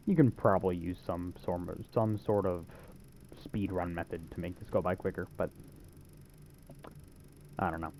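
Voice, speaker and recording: A man's voice: low voice, fairly even intonation, normal speed, pauses often, a little mellow, a little hiss or hum. A man giving a casual video game walkthrough in an offhand way, with wry asides. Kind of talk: casual gameplay walkthrough commentary.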